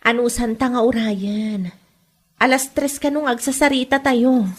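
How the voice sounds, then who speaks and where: An actress playing a sensible, blunt young salon worker and patient friend in an Ilocano comedy drama. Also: female voice, a little high, fairly even intonation, unhurried. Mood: calming.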